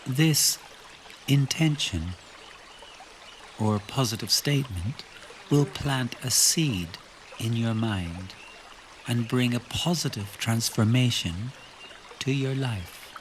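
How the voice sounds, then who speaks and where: A man guides a relaxation meditation at a very slow pace, with a mid-pitched voice. He gives calm instructions in short phrases, leaving long pauses. A faint trickle of stream water runs behind him. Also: a little hiss or hum.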